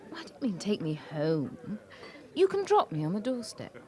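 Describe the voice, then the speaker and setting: A woman's voice: mid-range voice, huge pitch swings, moderate pace, mellow. An actress playing a frank, teasing British woman who probes directly, in a radio drama. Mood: dry, flirtatious.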